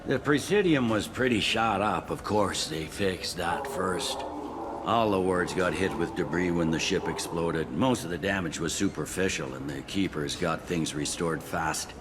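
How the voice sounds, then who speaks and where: A man's voice: sits low, a little slow. A man playing a wry, pragmatic police captain on a space station in a video game. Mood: matter-of-fact. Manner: wry, blunt, matter-of-fact. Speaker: security captain character. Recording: clearly audible ambient noise.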